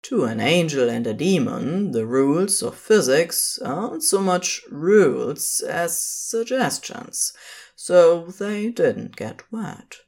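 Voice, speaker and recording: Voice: medium pitch, sing-song, slow pace, darkish tone. A narrator reading scripted fiction for an audio drama.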